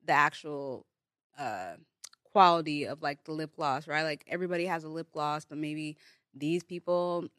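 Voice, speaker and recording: A woman's voice: sits low, normal speed, fairly bright tone, no echo. A young woman from Nigeria whose first language is Igbo, chatting casually as a guest on a studio podcast, full of "like" and "um" fillers. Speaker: guest, beauty brand founder. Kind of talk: casual interview. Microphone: studio mic.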